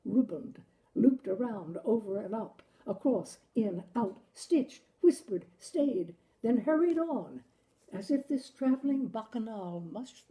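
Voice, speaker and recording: Female narrator for literary fiction, unhurried and expressive, with a very soft, dark, mellow tone. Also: mid-range voice, low-quality recording. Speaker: story reader, show host. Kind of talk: literary audiobook-style story narration.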